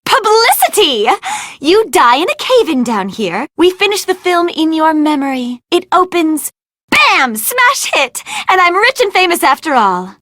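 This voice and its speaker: A woman's voice: very high voice, very animated, unhurried, fairly bright tone, no echo, clean studio sound, exaggerated voice. A woman voicing a vain, ditzy young movie actress in an adventure game, gushing and theatrical in a gossipy way. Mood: frantic, excited, then gleefully menacing. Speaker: video game voice actor. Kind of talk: animated adventure game dialogue.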